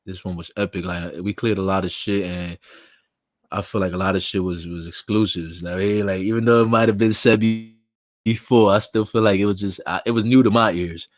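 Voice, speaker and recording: A raspy, low-voiced man hosts a live stream in a dry, close-miked sound. He talks loosely in street slang and thanks his viewers one by one. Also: steady tone, a little fast, no echo. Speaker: live show host. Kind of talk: casual livestream chat.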